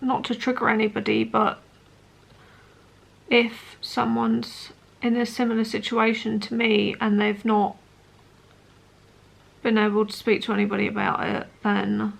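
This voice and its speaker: A woman in her late twenties talking personally to camera as a vlogger, in an earnest, hesitant, halting way. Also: fairly high pitch, flat delivery, gravelly, slightly rough. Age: late 20s.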